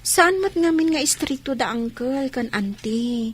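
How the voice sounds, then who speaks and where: A woman's voice: high-pitched, lively intonation, measured pace, slight background noise. A woman playing an earnest, dutiful young student with an Ilocano accent in a Philippine radio drama. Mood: earnest, reassuring.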